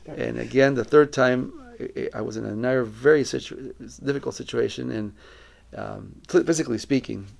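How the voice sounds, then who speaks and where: A middle-aged man with a very creaky, gravelly voice at an ordinary male pitch. He gives a personal testimony as a missionary, speaking earnestly and reflectively, choosing his words with deliberation. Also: moderate pace, darkish tone. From Colombia.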